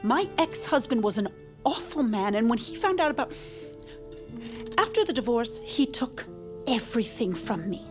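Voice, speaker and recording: An actress playing a faded rich divorcée in an audio drama, dramatic, chummy and confiding. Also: female voice, on the high side, sing-song, moderate pace, long pauses, music in the background. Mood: conspiratorial, wounded. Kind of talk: audio fiction drama acting.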